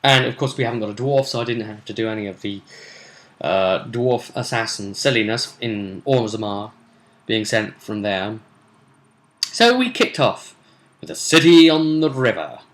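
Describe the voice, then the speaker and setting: A man's voice: fairly low pitch, expressive, normal speed. A man hosting a tabletop role-play vidcast as game master, casually recapping a session with rambling, chatty asides.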